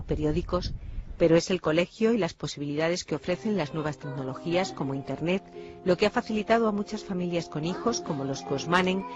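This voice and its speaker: A woman reporter reading a scripted television news narration in a measured, even delivery. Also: lowish voice, lo-fi sound.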